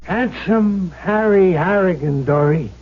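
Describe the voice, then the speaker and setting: A vengeful gangster's ghost, high-pitched for a man and husky, talking slow, mocking slang. It comes through the muffled sound of an old radio play. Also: exaggerated voice. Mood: gloating menace. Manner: menacing, slangy tough-guy talk. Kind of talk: old-time radio drama acting. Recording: dull lo-fi old radio recording.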